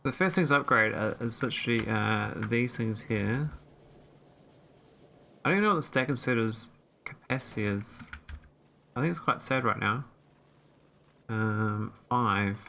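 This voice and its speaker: A man talks through his game in a loose, wandering way, trailing off into fillers as he works things out. His voice is gravelly and bright, and a faint hum sits behind it. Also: medium pitch, a little slow, long pauses. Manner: thinking aloud, rambling with fillers. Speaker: gameplay streamer. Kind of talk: casual let's-play commentary.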